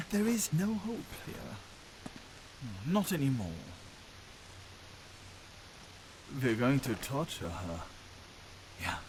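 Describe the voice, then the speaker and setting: An actor playing a sinister, gloating Nazi general in a video game cutscene, taunting theatrically with drawn-out pauses. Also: male voice, mid-range voice, noisy background. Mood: sadistic.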